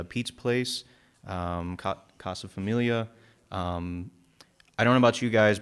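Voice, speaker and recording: A man who works in homeless outreach, speaking sincerely and a little hesitantly at a community town hall. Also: deep voice. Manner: sincere, hesitant, many fillers. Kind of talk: public comment at a town hall.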